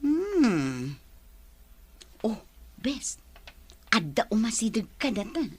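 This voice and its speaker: High, animated young best friend. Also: female voice, slow pace, husky, full character voice. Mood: teasing. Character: giggly, teasing young female best friend. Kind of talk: Ilocano radio drama acting.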